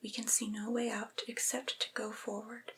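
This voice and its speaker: A woman reading literary prose aloud in a breathy, unvoiced ASMR whisper, with measured, deliberate delivery. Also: fairly high pitch, level tone, a bit bright.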